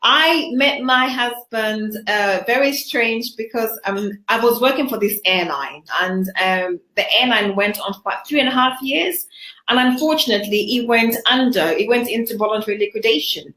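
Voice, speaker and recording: A woman's voice: fairly high pitch, moderate pace, sharp tone, reverberant, rough recording. A woman from Zambia, a guest telling her life story in an earnest, reflective way, with frequent fillers. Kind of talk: personal testimony in an interview.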